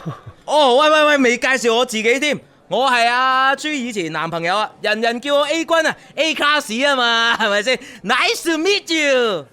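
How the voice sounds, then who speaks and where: A man's voice: very high voice, animated, normal speed, pushed and bright, smooth, heavily acted. An actor playing a boastful, condescending young rich man in a radio drama, with a Hong Kong accent and showy, smug delivery. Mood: smug teasing. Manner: showy, smug, peppers talk with tags and English.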